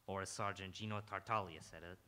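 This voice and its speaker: A man's voice: low-pitched, fairly even intonation, quick pace, bright, forceful tone. A man playing a hard-boiled, world-weary police detective in a live radio noir drama, brooding and terse. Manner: terse, brooding, clipped questioning.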